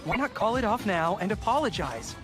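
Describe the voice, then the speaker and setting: An actor playing a conscientious, exasperated young assistant in an animated comedy, reproachful and pleading. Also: male voice, sits high, brisk, music in the background. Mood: worried protest. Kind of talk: animated comedy dub acting.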